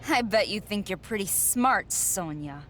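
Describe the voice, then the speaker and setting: A woman's voice: mid-range voice, expressive, a little slow, a bit bright, slight background noise. An actor playing a defiant, frustrated young female wrestler, insistent and indignant, in a video game cutscene. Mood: defiant anger.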